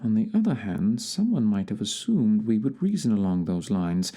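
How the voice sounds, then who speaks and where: An actor playing a shrewd, commanding gentleman detective in an audio theatre drama, with a deliberate, authoritative manner and old-fashioned phrasing. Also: fairly low pitch, big pitch swings. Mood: brisk, investigative.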